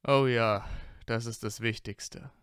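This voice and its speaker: Casting: man as reserved, caring young aristocratic male wizard, smooth mid-pitched voice, understated fan audio drama delivery. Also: steady tone, takes it slowly, fairly bright tone, no echo, clean studio sound.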